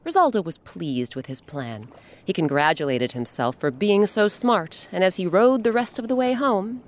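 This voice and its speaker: Casting: female host-narrator for a kids' story podcast, deep for a woman, highly expressive, over music. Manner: expressive, dramatic pauses, addresses young listeners. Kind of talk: scripted storytelling for children.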